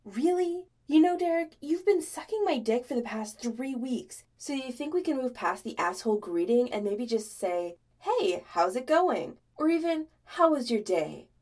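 Woman as frantic, sarcastic young man, wildly animated. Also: on the high side, moderate pace, hardly any pauses, voice pushed up. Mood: exasperated. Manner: exasperated, emphatic, rambling.

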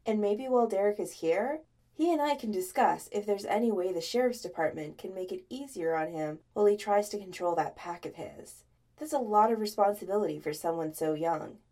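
Middle-aged sheriff father read by a woman: knowing, authoritative, offhand storybook character with lively pitch. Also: a little slow, vocal fry, heavily acted.

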